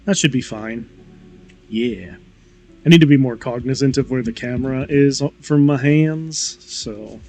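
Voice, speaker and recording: A man's voice: mid-range voice, unhurried, warm tone. A man streaming a model-kit build, chatting casually in a slightly nasal, tired voice with lots of fillers. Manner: laid-back, rambling with fillers. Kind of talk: casual livestream chat.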